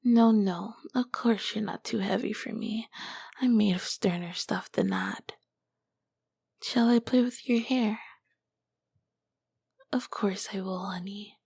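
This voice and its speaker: A woman's voice: mid-range voice, gravelly, a little mellow, breathy edge, dry recording, clean studio sound, exaggerated voice. A woman voicing an ancient, nurturing succubus queen in an ASMR roleplay, whispering breathily and soothingly with tender endearments. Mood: soothing, affectionate. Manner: slow, soothing, doting endearments.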